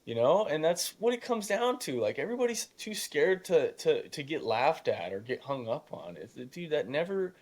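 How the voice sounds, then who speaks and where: A man's voice: on the high side, sing-song, normal speed, few pauses. Emphatic young man, youthful and informal. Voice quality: youthful voice that still cracks at times. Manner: emphatic, informal, acts out imagined dialogue. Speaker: podcast guest, young real estate investor. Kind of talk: casual conversational motivational storytelling.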